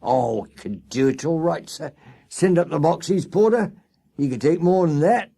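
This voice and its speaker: An obliging Victorian cabman played by a male storyteller in a children's tale. His voice is pitched up and pushed out, eager to please. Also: expressive, measured pace, creaky, warm tone, exaggerated voice. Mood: eager assurance. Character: eager, obliging working-class male porter or cab driver.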